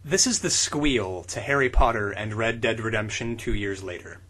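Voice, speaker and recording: A man co-hosting a comedy podcast, reading bad fan fiction aloud in a deadpan way. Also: on the low side, normal speed, slightly rough. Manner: deadpan reading that breaks into laughter. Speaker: podcast co-host.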